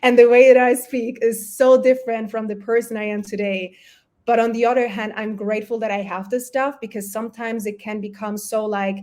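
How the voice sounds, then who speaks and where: A woman's voice: on the high side, a little mellow. A woman whose first languages are German and Polish, talking casually and chattily as an interview guest, acting out her own self-talk. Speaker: guest, health coach and entrepreneur.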